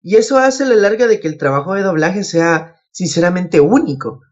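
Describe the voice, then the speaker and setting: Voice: medium pitch, expressive, moderate pace. Podcast host with a dull-sounding mic. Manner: acts out quoted remarks. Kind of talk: casual podcast discussion with anecdotes.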